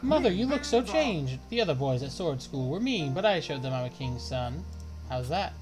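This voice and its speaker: A game streamer voicing a proud, boastful young boy prince in a loud, childish way, with music behind. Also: mid-range voice, very animated, moderate pace, close to an everyday voice, poor audio. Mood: proud, excited.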